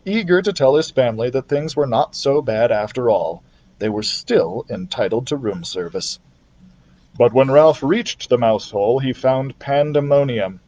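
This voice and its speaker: A man's voice: medium pitch, darkish tone, dull audio. A man narrating a children's story expressively, as a library storyteller.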